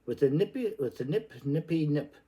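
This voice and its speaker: A man's voice: a little high, lively intonation, normal speed, mellow. A man reading literary prose aloud in a theatrical, playful and exclamatory way. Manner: playful, exclamatory delivery with sudden outbursts. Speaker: reader.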